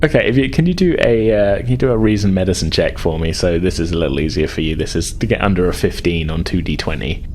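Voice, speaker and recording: A man acting as game master, narrating a tabletop game casually in a halting, explanatory way. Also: low voice, expressive, darkish tone, a little hiss or hum.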